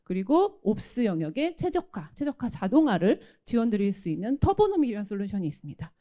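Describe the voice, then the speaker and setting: Female solutions presenter, mellow tone with animated intonation, formal and explanatory. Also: mid-range voice, quick pace. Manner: explanatory, polite formal delivery. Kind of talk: technical product presentation.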